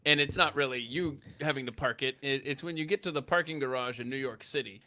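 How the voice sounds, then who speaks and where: A man's voice: medium pitch, fast, pushed and bright. A middle-aged man hosting a casual panel chat, easily flustered and often interrupting himself. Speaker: podcast host.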